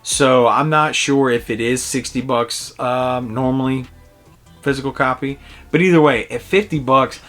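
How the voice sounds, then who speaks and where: A man's voice: medium pitch, unhurried, fairly bright tone. Man in his forties reviewing games over music. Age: early 40s. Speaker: video game reviewer. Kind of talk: casual review commentary.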